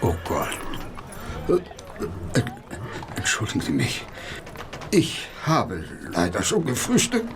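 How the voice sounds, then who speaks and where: Queasy, swooping detective's assistant. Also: male voice, on the low side, huge pitch swings, takes it slowly, long pauses, noisy background, full character voice. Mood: nauseated. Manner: faltering, easily rattled. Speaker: actor in an audio drama. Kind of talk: German radio play acting.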